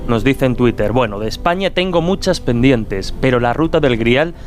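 A man co-hosting a radio show, reading listeners' messages aloud in a relaxed way. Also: medium pitch, moderate pace, faint music behind.

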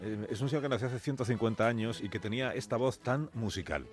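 A man's voice: mid-range voice, fast. A man presenting live morning radio, telling a story with rhetorical questions and emphatic repetitions. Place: radio broadcast. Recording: music bed behind voice.

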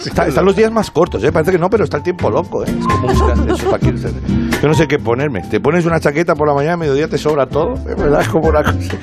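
A man's voice: fairly high pitch, lively intonation, racing. A man with an accent from Spain doing improvised comic radio banter, rambling and repeating his phrases insistently. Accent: Spanish from Spain. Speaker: comedian on a radio show. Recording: music playing behind the voice.

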